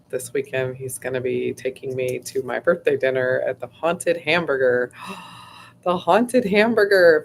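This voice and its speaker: A hoarse woman hosts a casual livestream, talking at an unhurried, drawn-out pace. She rambles chattily, leaning on "like" and "um" and clearing her throat again and again. A faint low rumble sits under her voice. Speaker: host.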